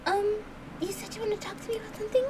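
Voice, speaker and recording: A woman's voice: extremely high pitch, little pitch movement, very fast, background noise, pitched above the performer's own voice. A woman voicing a sweet, polite, hesitant young heroine in a visual novel game. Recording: music behind the voice.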